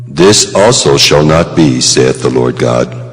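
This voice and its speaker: Stern divine judge, extremely low, solemn and deliberate, in cavernous reverb for dramatized scripture. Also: male voice. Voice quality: very deep, weighty. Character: the Lord God, stern commanding divine judge. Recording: long cavernous reverb under music.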